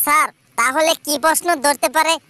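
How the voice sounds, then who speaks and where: A woman's voice: high voice, moderate pace, pushed and bright. A woman voicing a cheeky schoolchild in a comedy cartoon, answering with matter-of-fact confidence.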